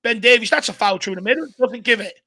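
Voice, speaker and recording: A hoarse Irish man hosting a fan watchalong, giving excited live commentary and urging the players on. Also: very high voice, fast talker. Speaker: fan channel host. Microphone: home desk mic.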